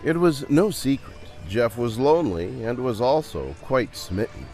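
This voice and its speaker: A man's voice: medium pitch, animated, unhurried, a little mellow, soft background music. A man narrating a dramatised storybook adventure in a theatrical, dramatic way.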